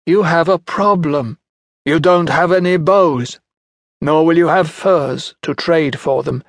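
A man voicing a cold, calculating warrior who speaks slowly and with menace. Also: a little high, creaky, no echo, studio quality, voice pushed up.

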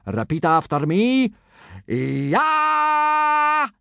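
A man's voice: fairly high pitch, very animated, unhurried, no echo, clean studio sound, pitched above the performer's own voice. A man voicing a pompous, self-satisfied Viking warrior instructor in an audiobook, bellowing boastful commands. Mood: smug, commanding.

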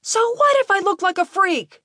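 A woman's voice: high voice, animated, moderate pace, dry recording, studio quality, pitched above the performer's own voice. A woman voicing an anguished, emotional young man pleading with and defying parents in an audiobook story. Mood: defiant anger.

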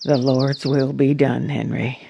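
A woman's voice: rumbling low, level tone, unhurried, gravelly, warm tone, highs cut off, a little hiss or hum, full character voice. An actress playing a devout, anxious middle-aged farm mother in a radio drama, with a rural American accent and an earnest, admonishing manner. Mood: resigned, grave. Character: plain-spoken, devout, anxious farm mother of a young soldier.

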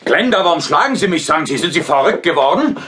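An actor playing a hard-bitten, exasperated police inspector in a German radio play, blunt and dramatic. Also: male voice, fairly high pitch, big pitch swings, quick pace, bright, forceful tone, slight background noise. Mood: exasperated, incredulous.

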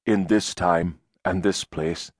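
A man voicing a weary, gentle and steadfast man accused of witchcraft, speaking calmly and gravely. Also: low voice, slow pace, no room sound, clean studio sound. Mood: calm, resolute.

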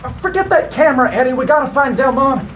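A man's voice: very high voice, animated, quick pace. An actor playing an earnest, protective young fireman leading friends in an audio drama, with a commanding manner. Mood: watchful. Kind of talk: full-cast audio drama acting.

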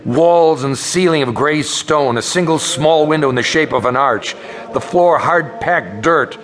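A man's voice: on the high side, unhurried. A man playing an exhausted traveling scholar in a radio drama, intense and dramatic. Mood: grave recollection. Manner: urgent pleading, hushed when narrating. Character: desperate, exhausted male traveler and scholar, recalling his ordeal.

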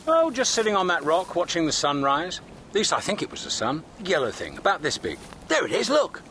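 An actor playing a breezy, cheerfully unhinged galactic hitchhiker in a radio comedy, whimsical and offhand. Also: male voice, fairly high pitch, wildly swooping pitch, a little fast, bright, forceful tone, slight background noise, heavily acted. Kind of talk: radio comedy drama acting.

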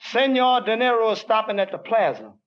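An actor playing a deadpan male tavern worker in a 1940s radio comedy, reading slowly and flatly. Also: high voice, heavy background noise. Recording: dull old radio broadcast.

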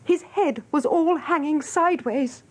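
An actress playing a chatty, excitable English office typist, gushing and breathless in a radio drama. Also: female voice, high-pitched, a little slow. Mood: excited, shocked. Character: chatty, excitable female office typist who loves gossip.

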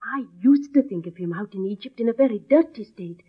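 A woman's voice: a little high, lively intonation, brisk, breathy edge. An actress playing a naive, chatty young servant girl in a radio drama, artless and deferential. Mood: wistful, admiring. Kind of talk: early radio drama acting. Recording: tinny, band-limited old radio recording.

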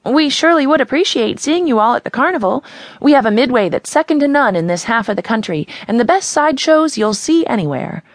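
A woman reading a story voices a smooth-talking male carnival barker with a put-on Midwestern accent and a salesman's patter. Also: fairly high pitch, animated, fairly quick, no echo, voice pushed up. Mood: folksy, persuasive.

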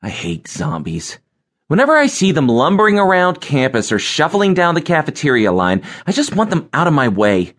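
Snarky young geek, swooping intonation. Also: male voice, a little high, wildly swooping pitch, moderate pace, a bit bright, heavily acted. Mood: disdainful. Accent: American. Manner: snarky, exasperated, emphatic. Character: sardonic young male programming geek who hates zombies. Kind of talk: first-person audiobook character narration.

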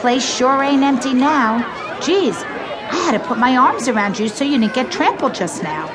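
A woman's voice: high-pitched, lively intonation, moderate pace, a crowd in the background, background noise. An actress playing a pushy, gushing pageant mother who talks to her young daughter with breathless, exaggerated emphasis in an audio drama. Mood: excited, encouraging.

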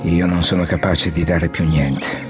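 An actor playing a weary, embittered, ailing general in an Italian audio drama, theatrical, resigned and testily commanding. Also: male voice, low-pitched, steady tone, a little slow, over music. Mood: weary, bitter.

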